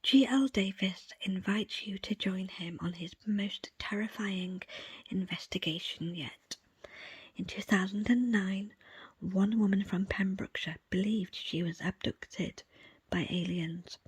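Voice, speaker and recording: A woman reading a book aloud in an ASMR style, in a breathy, unvoiced whisper with hushed, measured phrasing. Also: medium pitch. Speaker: book reader presenting an audiobook extract.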